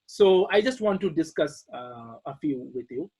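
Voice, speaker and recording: A man's voice: a little high, expressive, moderate pace, warm tone, slightly rough. A man who is not a native English speaker, giving an informal academic talk with many fillers and restarts.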